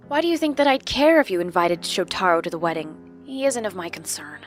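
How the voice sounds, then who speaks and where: A woman's voice: fairly low pitch, animated, unhurried, soft background music. Woman playing stubborn, guarded, exasperated young woman. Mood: aloof. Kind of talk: audio drama acting.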